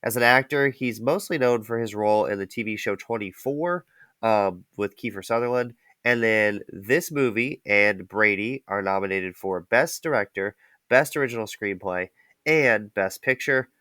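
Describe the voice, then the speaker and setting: Podcast co-host, steady, informative, middling pitch, dry close sound. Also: normal speed, no room sound. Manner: steady, informative, moves the show along. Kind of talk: casual film review chat.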